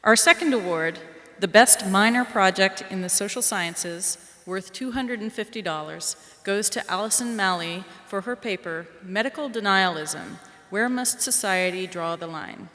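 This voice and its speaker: Woman presenter, bright tone, formal and measured, long hall reverb at a library ceremony. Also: mid-range voice. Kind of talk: formal announcing and reading a statement aloud.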